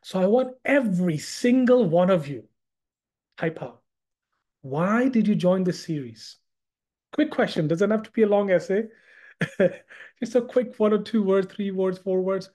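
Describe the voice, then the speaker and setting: A man's voice: high voice, lively intonation, unhurried, mellow. A man in his thirties from Hong Kong, teaching an interactive online class over a video call, chatty and often repeating words as he asks the group questions. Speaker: Islamic studies teacher.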